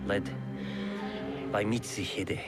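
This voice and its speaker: A man playing an ailing, dutiful clan lord in a video game drama, speaking in a measured, formal way broken by sighs and coughs. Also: lowish voice, fairly even intonation, over loud music. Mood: weary, resolute. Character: ailing clan lord, sorrowful but dutiful older brother.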